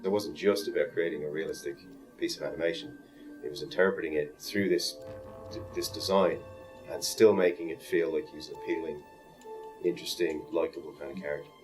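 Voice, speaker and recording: Deep deep voice animator guy explaining stuff, music under it. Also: fairly even intonation, brisk, low-quality recording. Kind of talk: interview answers.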